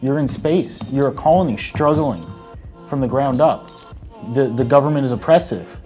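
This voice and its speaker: A man's voice: medium pitch, moderate pace, dark tone, soft background music. A man arguing his points as a panelist in a casual chat show. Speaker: debate panelist.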